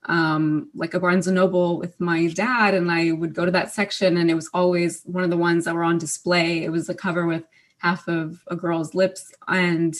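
A young woman on a panel telling a personal story hesitantly, with many fillers and pauses. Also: fairly low pitch, flat delivery.

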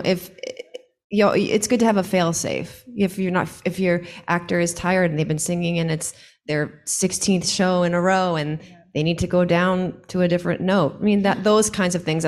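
A woman who is a songwriter, chatting casually as an interview guest in an animated, joking, self-deprecating way. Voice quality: low singing voice.

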